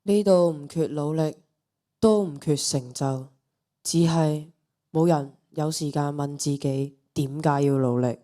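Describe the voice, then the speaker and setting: A teenage girl playing a reflective, measured student narrator in a radio drama. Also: low-pitched, dark tone. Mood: solemn.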